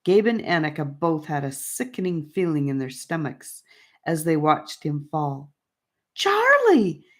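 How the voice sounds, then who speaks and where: A woman narrating a fantasy audiobook in a measured, deliberate storybook style. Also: low voice.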